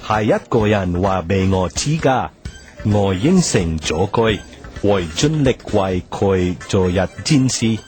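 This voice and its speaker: An actor playing a powerful, measured media tycoon in a Cantonese radio drama, speaking in halting, deliberate phrases. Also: male voice, lowish voice, expressive, a little mellow, faint music behind. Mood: measured, assured.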